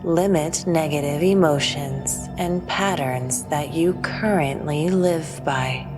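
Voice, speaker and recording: Woman playing a low-voiced, slow, serene alien teacher. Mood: serene, instructive. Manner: solemn, measured, didactic. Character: serene, preachy female alien spiritual teacher, Maya. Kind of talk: audio drama acting. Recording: long reverb, music underneath.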